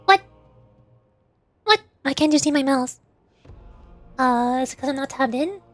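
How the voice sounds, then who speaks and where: A woman's voice: sits high, animated, unhurried, dry recording, soft background music. A woman livestreamer chatting casually and playfully in a rambling way while gaming. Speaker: VTuber livestreamer.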